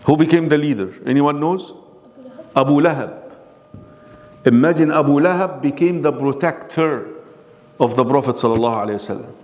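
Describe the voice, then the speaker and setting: A man giving a classroom lecture on religion, constantly quizzing his audience with questions. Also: medium pitch. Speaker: Islamic lecturer. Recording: long reverb of a hall.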